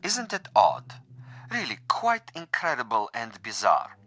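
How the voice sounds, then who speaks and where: A man's voice: mid-range voice, huge pitch swings, measured pace, pushed and bright, full character voice. An actor playing an urbane, sadistic assassin who taunts his prey in formal, precise, theatrical phrases in a suspense radio drama. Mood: smug, amused.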